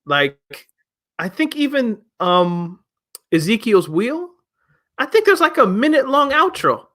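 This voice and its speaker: A young man hosting a casual album review, talking in an animated, emphatic way with rhetorical questions. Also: very high-pitched, takes it slowly. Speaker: music review host.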